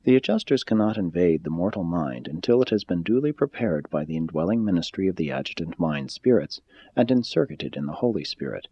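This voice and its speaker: A man giving a formal scripted reading of a spiritual text in a measured, deliberate, solemn way. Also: on the low side, warm tone, slightly rough. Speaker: audiobook-style reader. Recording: slightly dull, muffled sound.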